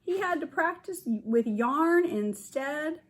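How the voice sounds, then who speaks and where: A woman's voice: sits high, expressive, slow pace. A woman kindergarten teacher reading a storybook aloud, explaining things simply for young children.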